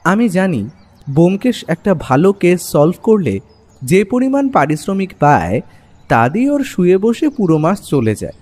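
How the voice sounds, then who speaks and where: A man narrating a scripted first-person story as an expressive storyteller. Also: sits high, moderate pace. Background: music.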